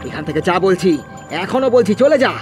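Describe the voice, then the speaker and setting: A man's voice: high-pitched, lively intonation, normal speed, over music. A man voicing a frightened but defiant village fish farmer in a horror cartoon, stammering and repeating his words. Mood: pleading, defiant.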